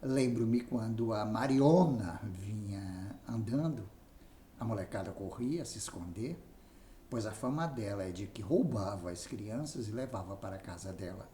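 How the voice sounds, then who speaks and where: A man with a Brazilian accent narrating a written memoir aloud in an expressive reading style. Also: on the low side, measured pace. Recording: roomy echo, faint background noise.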